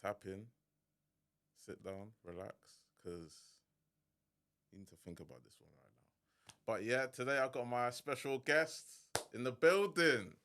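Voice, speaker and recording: A man's voice: medium pitch, a little slow, pauses often, fairly bright tone, clean tone. A male podcast host with a middle-range voice, chatting loosely with a guest. His talk is full of slang and easy fillers as he leads the conversation. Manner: laid-back slang-heavy chat, hype intro. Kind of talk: casual podcast chat.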